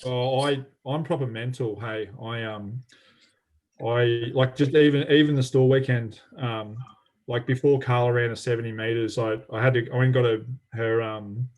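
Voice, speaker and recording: A man's voice: medium pitch, steady tone, moderate pace, darkish tone. A man, an athletics coach chatting casually on a video call, rambling with lots of fillers and restarts.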